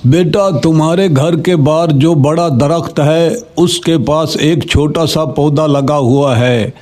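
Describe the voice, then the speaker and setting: A man's voice: on the high side, slow, darkish tone. A man voicing a kindly, solemn holy old man from a dream in a cartoon story.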